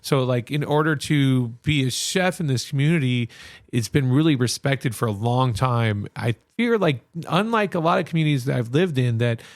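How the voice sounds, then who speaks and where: A man's voice: mid-range voice, normal speed, no echo, studio quality. A man who is a chef and restaurant owner, telling stories animatedly in a casual interview. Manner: animated storyteller, many restarts.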